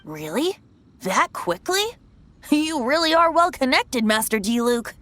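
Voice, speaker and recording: A woman's voice: high-pitched, very animated, a little slow, a bit bright, exaggerated voice. A woman voicing a carefree, playful young male wind god in a video game, light and self-deprecating. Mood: impressed. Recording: game audio with music behind.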